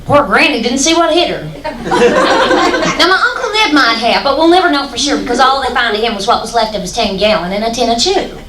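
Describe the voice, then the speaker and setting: Texas gal doing deadpan standup, kinda high voice, echoey hall from far off. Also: female voice, a little fast. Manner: folksy deadpan storytelling, dark punchlines. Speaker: stand-up comedian. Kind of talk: storytelling stand-up monologue. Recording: echoey hall, distant, faint hiss.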